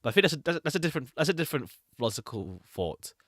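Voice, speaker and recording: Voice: medium pitch, big pitch swings, rapid-fire, no room sound, clean studio sound. A streamer chatting casually and thinking aloud in a hesitant, rambling way during a game read-through. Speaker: streamer reading a visual novel aloud. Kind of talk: casual Let's Play chat and read-aloud. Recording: jazzy game music behind the voice. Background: jazzy retro game music.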